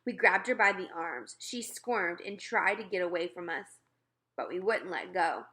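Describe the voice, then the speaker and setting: A woman narrating a children's novel as an animated read-aloud. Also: lowish voice, measured pace. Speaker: storybook reader.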